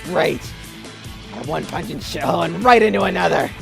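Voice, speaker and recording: A man's voice: a little high, animated, fairly quick, vocal fry, over music, voice pushed up. A man voicing a cocky, scrawny, foul-mouthed supernatural troublemaker with a taunting, frantic manner in an audio drama. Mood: exasperated. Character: cocky, scrawny, loudmouthed supernatural trickster brawler.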